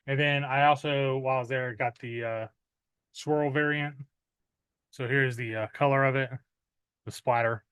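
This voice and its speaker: A man's voice: medium pitch, steady tone, moderate pace, long pauses, fairly bright tone, no echo. Rambling man with many fillers. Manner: rambling with many "uh" fillers, clears throat often. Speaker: metal music vlogger. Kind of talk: casual unboxing chat.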